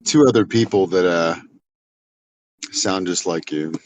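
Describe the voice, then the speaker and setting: Teasing man asking halting questions. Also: deep voice, slight background noise, rough recording. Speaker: voice-chat participant. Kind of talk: casual voice-chat banter.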